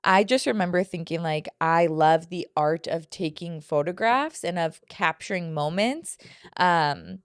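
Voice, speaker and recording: A woman's voice: on the low side, measured pace, smooth, no echo, clean studio sound. A woman in her early thirties, partly raised in Ecuador, co-hosting a casual podcast and telling a personal story with playful crude jokes. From family from Ecuador, grew up there in part. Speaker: podcast co-host and photographer.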